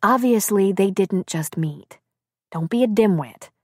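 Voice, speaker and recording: A woman voicing a caring, protective female friend who can be firm and brisk, in audiobook dialogue. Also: mid-range voice, expressive, darkish tone, slightly rough, no room sound, clean studio sound. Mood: firm, dismissive. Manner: comforting, firm.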